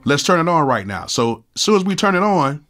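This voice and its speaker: A man in his thirties with a cold and a nasal voice, casually walking through music software in a laid-back, slangy way from his home studio. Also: a little high, big pitch swings, fairly quick. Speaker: music producer and YouTube host.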